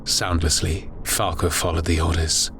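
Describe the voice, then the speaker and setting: A man narrating a dark war horror audiobook in a grave, solemn, dramatic scripted style. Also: sits low, flat delivery, normal speed, background noise.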